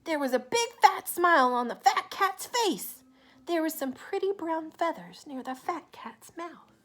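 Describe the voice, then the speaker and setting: Slow, animated, high-voiced woman storyteller. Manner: animated, deliberate, asks the listener questions. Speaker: children's story reader. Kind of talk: expressive picture-book read-aloud with asides to young listeners.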